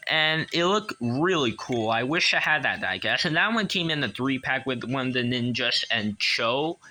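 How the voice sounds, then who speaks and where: Bright-voiced man giving a casual toy review. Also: medium pitch, animated. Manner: halting, repetitive, enthusiastic. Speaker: toy collector reviewing a diecast car.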